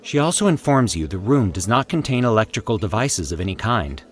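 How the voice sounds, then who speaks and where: A man narrating a scripted horror story in a formal, deliberate, theatrical storytelling manner. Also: lowish voice, big pitch swings. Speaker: host and narrator of a fiction podcast.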